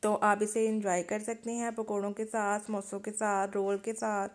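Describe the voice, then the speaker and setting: A woman's voice: medium pitch, flat delivery, moderate pace. A woman with a Pakistani Urdu accent, narrating a home recipe step by step as a cooking channel host. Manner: plain step-by-step instructions with kitchen tips.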